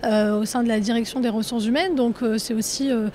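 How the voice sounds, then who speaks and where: Higher-voiced woman in HR. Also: steady tone, a little fast, warm tone. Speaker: human resources staffer being interviewed. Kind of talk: casual spoken interview. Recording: location interview, faint background noise.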